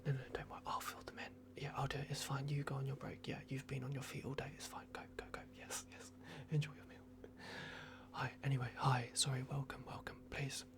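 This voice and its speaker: A man speaks in a whispery hush with a lot of vocal fry. He is doing an ASMR roleplay as a courteous, flustered vampire clinic worker. His delivery is hesitant and apologetic. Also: mid-range voice, monotone, fairly quick.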